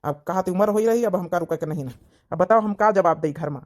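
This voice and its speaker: A woman's voice: fairly low pitch, fast talker, a little mellow, lightly acted. A woman playing a wounded, pressing young village suitor in a cartoon drama, pleading insistently with an Awadhi accent. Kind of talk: cartoon comedy-drama dialogue in village dialect.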